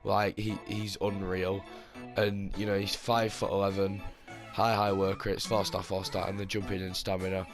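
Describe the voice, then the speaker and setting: A man hosting his gaming channel, talking casually about his team with a slightly hoarse voice, rambling with lots of fillers and restarts. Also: low-pitched, monotone, normal speed, few pauses, music in the background. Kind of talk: casual gameplay commentary.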